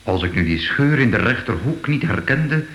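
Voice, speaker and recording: An actor playing a sober, thoughtful art investigator in a radio drama, speaking in a measured, pondering way. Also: male voice, medium pitch, big pitch swings, fairly bright tone. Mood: intrigued. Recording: echoey, faintly noisy old radio play.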